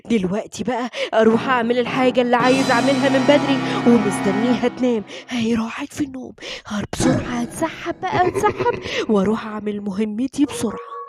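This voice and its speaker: A woman's voice: sits high, animated, racing, loud music behind the voice. A woman voicing a sneaky, scheming cartoon father with a furtive, evasive manner. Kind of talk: children's story role-play.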